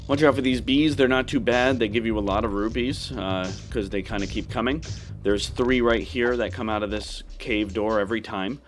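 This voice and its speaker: Male playthrough host, on the low side, casual and explanatory, game music underneath. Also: fairly even intonation, moderate pace. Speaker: gaming video host.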